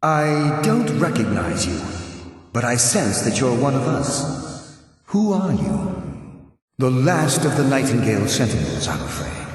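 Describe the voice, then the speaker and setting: An actor playing a solemn, remorseful male ghost in a video game, speaking gravely and formally with a ghostly reverberation. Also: medium pitch. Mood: weary, grave. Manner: grave, formal, wistful delivery. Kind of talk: scripted video game dialogue. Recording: cavernous long reverb, distant.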